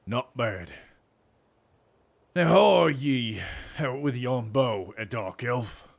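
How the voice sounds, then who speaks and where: A man doing a gruff old one-armed sergeant. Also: medium pitch, lively intonation, slow pace, exaggerated voice. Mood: gruff approval.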